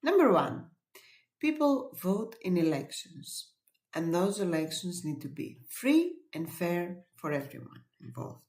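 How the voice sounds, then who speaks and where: Woman explaining things slowly, kinda low voice, roomy echo. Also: big pitch swings, a little mellow. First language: Greek. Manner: measured and explanatory, pauses with fillers. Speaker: webinar presenter. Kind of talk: educational webinar talk. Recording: echoey room.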